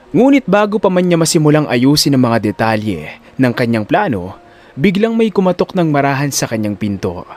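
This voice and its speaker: A man's voice: fairly high pitch, darkish tone. A man narrating a scripted audio drama in a dramatic storytelling style.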